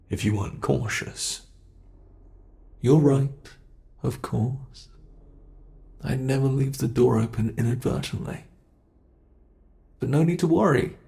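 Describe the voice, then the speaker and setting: Man voicing a slow-talking, possessive ancient monster. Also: medium pitch, vocal fry, a little mellow. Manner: slow, theatrical, teasing, formal wording. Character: ancient male monster, smug, possessive, playfully menacing captor. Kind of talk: ASMR roleplay, scripted monologue.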